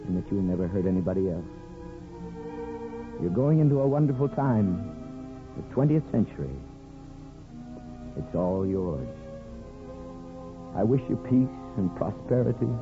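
Rueful barber, tinny old radio. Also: male voice, lowish voice. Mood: tender, wistful. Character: weary, regretful small-town barber and widowed-seeming father. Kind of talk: 1950s radio drama acting with first-person narration. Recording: phone-like old radio broadcast with music.

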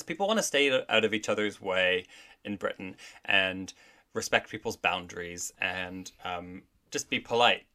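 A man's voice: on the low side, pushed and bright. A man from Canada, a drag performer, chatting casually as a guest on a podcast, full of "like" and "I think". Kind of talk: casual interview chat.

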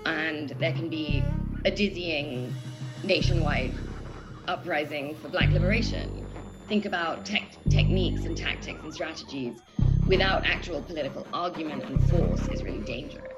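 Low-voiced woman thinking aloud over music. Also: sing-song, normal speed, poor audio. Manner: hesitant, searching, intellectual phrasing. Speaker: interview guest giving political analysis. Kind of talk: reflective unscripted discussion. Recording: music playing behind the voice.

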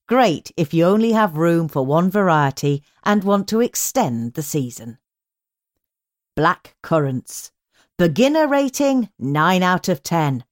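British woman reading, low-pitched and lively. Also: no room sound, clean studio sound. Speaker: magazine audio edition reader. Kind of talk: scripted narration, read magazine articles.

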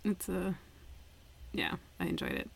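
A woman in a relaxed casual conversation who thinks aloud and laughs lightly. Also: fairly low pitch, unhurried, gravelly, crowd noise. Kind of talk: casual chat.

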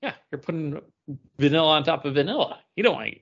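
A man's voice: fairly high pitch, animated, quick pace, vocal fry, breathy edge, muffled recording. A man co-hosting a podcast in casual chat, rambling and often repeating himself as he goes. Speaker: podcast co-host. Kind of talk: casual comic book chat.

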